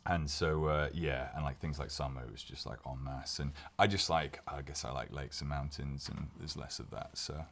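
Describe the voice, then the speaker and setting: Deep-voiced man from Wales in his thirties, slightly hoarse, chatty guest with easy laughs. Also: steady tone, moderate pace, few pauses, a bit bright, a little hiss or hum. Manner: chatty, laughing, frequent restarts and fillers. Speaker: guest dentist. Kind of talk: casual chat.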